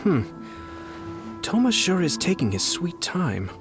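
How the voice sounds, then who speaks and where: Video game clan head: young noble, composed, polite, animated intonation over music. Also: male voice, high voice, takes it slowly, exaggerated voice. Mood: musing. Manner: courteous, formal. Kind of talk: video game character dialogue.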